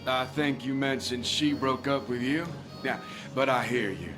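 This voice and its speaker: An actor playing a cynical small-town drunk in a video game, blunt and teasing with a sardonic manner. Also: male voice, mid-range voice, unhurried, music in the background, lightly acted. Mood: wry, bitter.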